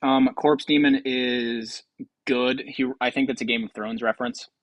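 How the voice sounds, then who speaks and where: A man's voice: mid-range voice, steady tone, a little slow, dry recording. Casual, dry-sounding male gaming commentator. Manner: hesitant, rambling thinking aloud. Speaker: video host.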